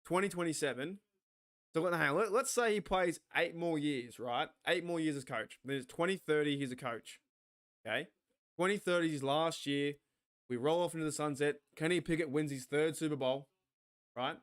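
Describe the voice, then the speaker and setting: Australian man, slightly high-pitched, rambling, sweary, casual stream chat. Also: normal speed. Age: 40s. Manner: excitable, rambling, swears freely, talks to chat. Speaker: watch party host.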